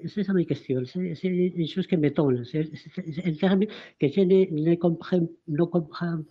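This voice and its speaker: Man, French speaker, caller on a dull lo-fi online call; halting, repeats himself. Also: a little high. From Spain. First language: not French. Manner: halting, repeats words while searching for French. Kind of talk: asking a question in an online call. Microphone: online call microphone.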